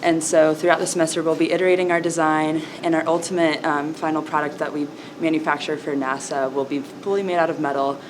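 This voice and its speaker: A young woman engineering student calmly explaining the design review process in a university lab. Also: sits low, fast talker, few pauses, background noise. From United States. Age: young adult. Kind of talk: casual explanation.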